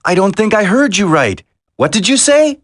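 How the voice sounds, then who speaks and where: An actor playing an earnest, loyal young commando hero in a dramatic video game, with emphatic delivery. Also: male voice, sits high, very animated, normal speed, fairly bright tone, dry recording, studio quality, full character voice. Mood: disbelieving.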